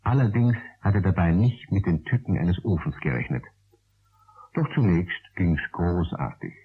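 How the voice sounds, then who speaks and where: A man narrating a children's radio play from a script, a husky storyteller who addresses his young listeners. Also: sits low, animated, moderate pace, exaggerated voice. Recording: tinny, narrow old radio recording.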